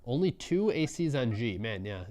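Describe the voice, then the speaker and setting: A young man giving relaxed, musing live commentary on a programming contest, often trailing off mid-thought. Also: medium pitch, moderate pace.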